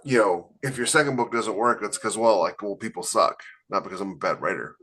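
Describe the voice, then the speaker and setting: A man's voice: lowish voice, a little fast, a bit bright, husky. A man co-hosting a podcast, an author talking candidly and reflectively about writing. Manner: candid, reflective, self-deprecating. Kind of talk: casual podcast discussion.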